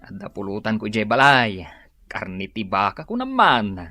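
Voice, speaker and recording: A stubborn, boozy grown son in an Ilocano radio comedy drama. His drawling, interjection-heavy speech has an Ilocano accent and swings widely in pitch. The sound is dry and close. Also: wildly swooping pitch, moderate pace, pushed and bright, no echo, soft background music, heavily acted. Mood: casual. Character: Fredo, stubborn hard-drinking grown son who relies on his mother.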